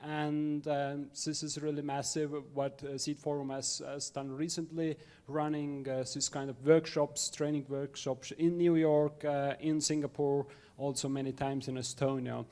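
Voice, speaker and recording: A man's voice: fairly high pitch, little pitch movement, measured pace, hardly any pauses, dark tone. Male moderator with a slightly raised voice who halts often between words while introducing a speaker. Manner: hesitant, many fillers, non-native phrasing. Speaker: seminar moderator.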